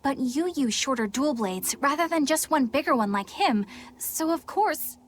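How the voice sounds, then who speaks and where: A woman's voice: high-pitched, animated, moderate pace, slight background noise. A woman playing an earnest, questioning young woman in dubbed video game dialogue. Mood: incredulous.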